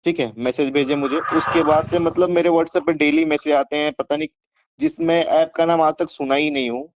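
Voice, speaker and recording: A man's voice: on the high side, fairly even intonation, moderate pace. An Indian man on a phone call, informally recounting his own experience, insistent and often repeating his words. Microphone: phone line. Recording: muffled phone call with faint noise.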